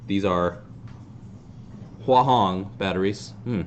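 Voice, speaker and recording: A man in his thirties casually hosting a hands-on how-to demonstration in a deadpan, self-deprecating way. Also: sits low, slow pace, highs cut off. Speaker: host of a fan group's how-to video. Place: indoor tabletop video shoot. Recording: clear background noise.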